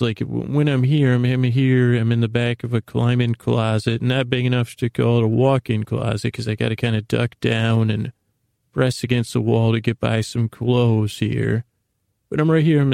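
Gravelly man, soothing and meandering. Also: medium pitch, fairly even intonation, mellow, dry recording, clean studio sound. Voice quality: gravelly, creaky. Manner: meandering, halting, repeats and trails off; lulling, soothing, friendly tones. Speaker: podcast host. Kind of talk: rambling bedtime monologue. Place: small closet full of clothes, indoors.

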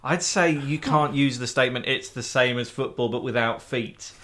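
A man co-hosting a casual podcast chat, dry and deadpan, tripping over hard names. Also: medium pitch, moderate pace. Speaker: podcast co-host.

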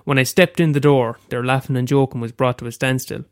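A man's voice: medium pitch, steady tone, a little fast, no room sound, studio quality. A young Irish man with a head cold, reading aloud a short story he wrote, after a hesitant casual introduction. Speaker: amateur storyteller.

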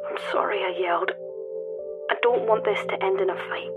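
A woman's voice: mid-range voice, moderate pace, natural voice. A woman playing a stammering anxious young woman on the phone. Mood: tender. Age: young adult. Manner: stammering, restless, nervous. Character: anxious young woman Kiri leaving the city. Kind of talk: audio drama acting. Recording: tinny phone line with music.

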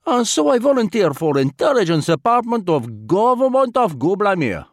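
A man's voice: high-pitched, big pitch swings, drawn-out words, smooth, no echo, studio quality, voice pushed up, heavily acted. Give me a man playing a wily foreign spy in a storybook reading, formal broken English and a wildly swooping voice. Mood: smooth evasive. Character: wily, self-mocking male foreign spy, middle-aged.

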